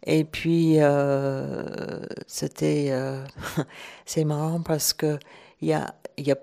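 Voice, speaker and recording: A woman's voice: low voice, flat delivery, takes it slowly, vocal fry, mellow. A woman from Ireland, speaking French as an as a radio guest, hesitant and searching for words. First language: English. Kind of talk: relaxed radio chat.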